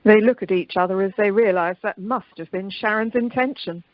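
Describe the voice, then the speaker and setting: A woman's voice: medium pitch, fairly quick, no room sound, lo-fi sound, close to an everyday voice. A woman acting as the narrator of a radio play, reading out the stage action. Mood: narrating. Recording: amateur telephone conference recording.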